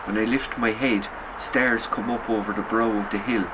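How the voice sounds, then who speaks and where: A man narrates literary fiction as an author would at a reading. His voice sits fairly low, and his delivery is sombre and measured. Clear background noise, like outdoor ambience, runs behind him. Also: fairly even intonation. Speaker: author reading own short story.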